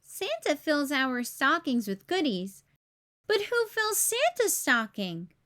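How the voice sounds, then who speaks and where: Little storybook dinosaur girl performed by a woman: very high, swooping, curious, excitable. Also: slow, full character voice.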